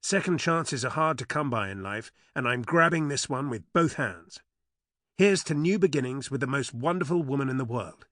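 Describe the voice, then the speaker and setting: A man's voice: mid-range voice, lively intonation, normal speed, fairly bright tone, dry recording, clean studio sound, lightly acted. A male narrator voicing a confident man toasting his new fiancée with assurance. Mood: proud. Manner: beaming, assured. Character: confident, doting man announcing his engagement.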